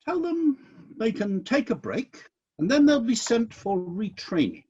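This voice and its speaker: A disillusioned God played by a man rules heaven in a radio play, indignant and commanding. The voice sits high for a male, swings widely in pitch and has a husky grain. The delivery is deliberate and decisive. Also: sing-song, mellow, muffled recording, full character voice. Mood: firm, hopeful.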